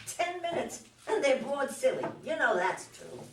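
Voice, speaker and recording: A woman reading the part of a fed-up, sharp-tongued wife and mother in an amateur stage play. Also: high voice, very animated, normal speed. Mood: scolding. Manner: scolding, accusatory. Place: indoor room at a live reading. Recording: echoey room, distant mic, faint noise.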